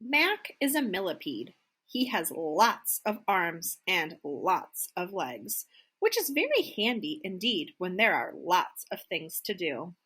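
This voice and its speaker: A woman narrates a children's storybook expressively. Her pitch is lively and varied, and she takes her time, phrasing deliberately. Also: husky.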